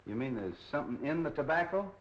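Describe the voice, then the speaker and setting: A man playing a brisk, skeptical police detective with an American accent and a sardonic manner, in a 1930s film. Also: mid-range voice, big pitch swings, close to an everyday voice. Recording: muffled, hissy 1930s film soundtrack.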